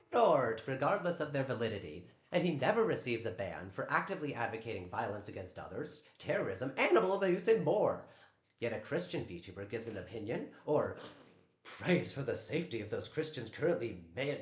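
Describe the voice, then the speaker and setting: A man with a naturally loud voice talking on a live stream at home, defensive and stammering over repeated words. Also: mid-range voice, big pitch swings, a little fast. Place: at home in a downstairs room. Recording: echoey room.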